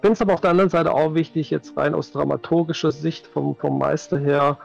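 A man's voice: on the high side, steady tone, brisk, gravelly, highs cut off. A man in his forties giving casual interview answers as a game master, thinking aloud and repeating words as he searches for them. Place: indoors at home, video call. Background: background music.

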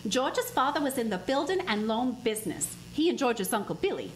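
A woman voicing a senior angel who tells the story in expressive narration, in a community radio play. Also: fairly high pitch, fairly quick.

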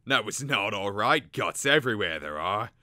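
A man's voice: on the low side, lively intonation, moderate pace, sharp tone, dry recording, studio quality, pitched above the performer's own voice. A man voicing a wheezing, muttering shopkeeper in a storybook. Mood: agitated. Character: wheezing, grumbling male shopkeeper.

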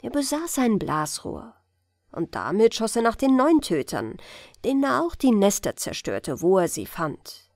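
A woman with a German accent reading a fairy tale aloud in a calm, gentle bedtime style, in a lilting storyteller manner. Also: a little high, unhurried, no echo, clean studio sound. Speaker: audiobook reader.

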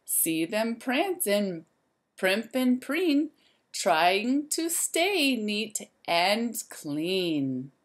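A woman's voice: mid-range voice, big pitch swings, extremely slow. A woman in her thirties, a teacher reading a picture book aloud to young children in a sing-song way, speaking to them directly.

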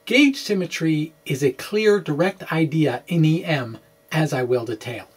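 A man in his forties presenting physics, part dramatic reading and part informal explanation, in an animated, quirky manner with hesitant asides. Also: a little high, measured pace, breathy edge. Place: small indoor room with bookshelves.

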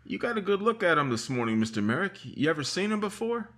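A man's voice: a little high, very animated, fast talker, fairly bright tone. An actor playing a patient small-town sheriff in a 1950s-style radio mystery, drawling and hesitating with "uh" and "mm" as he questions a witness. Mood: suspicious. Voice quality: husky, drawling with "uh" and "mm".

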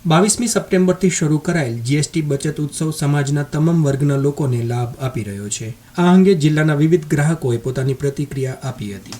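A male news presenter reads a scripted radio bulletin. His voice sits slightly high for a man, and music plays clearly behind him. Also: fairly quick, mellow.

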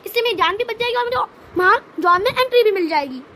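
Squeaky, quick cartoon quiz contestant, played by a woman. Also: lively intonation, a bit bright, slight background noise. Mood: confident, eager. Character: confident male contestant solving riddles in a cartoon. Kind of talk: scripted cartoon quiz answers.

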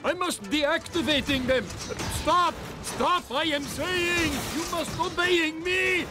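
A man's voice: very high voice, slow pace, over music. A man voicing a pompous, blustering fat cartoon villain with a foreign accent and broken English. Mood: indignant, blustering. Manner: broken English grammar, indignant. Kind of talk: cartoon acting.

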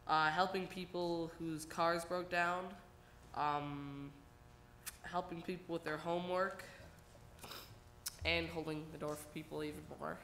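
A young woman from a youth group reading a list at a church lectern, halting with fillers. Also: sits low, a bit bright, slight background noise. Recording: echoey church room.

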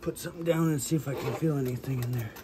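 A man's voice: mid-range voice, very fast, very noisy. A man casually thinking aloud as he works on a repair in his basement, filming on a handheld phone. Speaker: DIY homeowner filming a repair. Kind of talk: casual narration while working. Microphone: handheld phone camera.

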